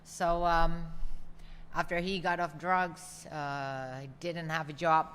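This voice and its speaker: A woman joining her husband on camera, talking in a halting, friendly way, often searching for words. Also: sits low, flat delivery, a bit bright. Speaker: host's wife. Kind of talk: casual chat. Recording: long reverb, faint ambient noise.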